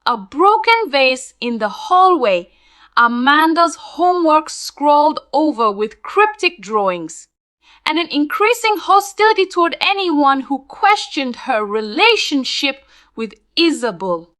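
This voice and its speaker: A woman narrating a spooky scripted tale in a dramatic, deliberate storytelling manner. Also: high-pitched, sing-song, a bit bright, no echo, studio quality.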